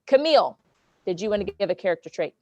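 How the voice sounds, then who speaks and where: A woman teacher giving a lesson to her class, loud and goofy, often checking in with "okay?". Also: medium pitch, lively intonation, fast talker, no echo.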